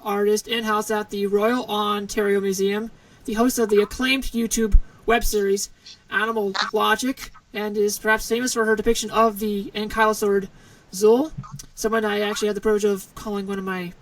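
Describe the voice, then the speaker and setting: Eager podcast host, slightly distant. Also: male voice, high-pitched, a bit bright, slight background noise. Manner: enthusiastic introductions with fillers. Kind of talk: hosting a podcast interview.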